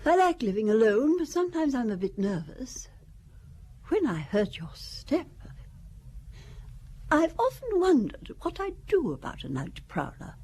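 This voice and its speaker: A nervous, gracious older widow. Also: female voice, high-pitched, sing-song, a little slow, pauses often, a little mellow, breathy edge, exaggerated voice. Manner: gracious, fluttery, hospitable. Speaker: rich older woman. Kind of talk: radio drama acting.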